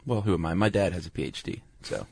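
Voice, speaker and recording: A man's voice: low-pitched, fast, creaky, breathy edge. A man co-hosting a podcast, explaining step by step in a colloquial, laid-back, slightly hesitant way. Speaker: podcast co-host. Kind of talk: casual explanatory chat.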